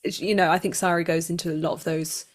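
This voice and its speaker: Low-voiced woman chatting reflectively. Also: fairly even intonation, quick pace. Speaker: voice actor being interviewed. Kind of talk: casual interview conversation.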